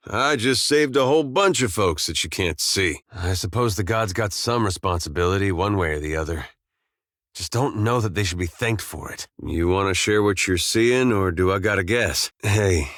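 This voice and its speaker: A man's voice: on the low side, expressive, moderate pace, fairly bright tone, no echo. A man playing an easygoing, loyal farm-boy soldier in a video game, with an American accent and a folksy, plainspoken, wry manner. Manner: folksy, laid-back drawl, dry humor. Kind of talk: scripted video game dialogue.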